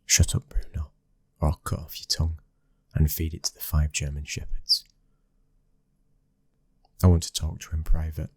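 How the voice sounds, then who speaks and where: Casting: a man performing a cold young British mafia prince for ASMR drama, domineering and terse, flat delivery, close dry sound. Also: a bass voice, normal speed, long pauses, husky, no echo, exaggerated voice. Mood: menacing, commanding. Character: cold, commanding twenty-one-year-old mafia boss's son. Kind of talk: ASMR roleplay acting.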